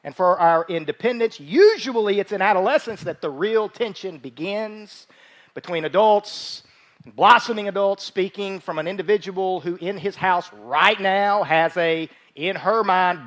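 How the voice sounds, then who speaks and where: This is a man in his forties with a notably high-pitched voice, preaching to his church. He teaches in an informal, conversational way, with a folksy manner. He often repeats a phrase to drive it home. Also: unhurried, a bit bright. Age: 40s. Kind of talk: conversational sermon with joking asides. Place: church service, speaking live from a stage.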